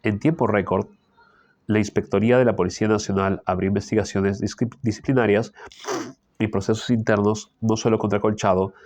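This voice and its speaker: A man with a Peruvian Spanish accent reads a political column aloud and adds his own asides, often clearing his throat because of an allergy. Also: sits low, darkish tone, no room sound. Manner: frequent throat clearing and tag questions. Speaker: political commentator reading the news. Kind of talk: reading a news column aloud with personal asides.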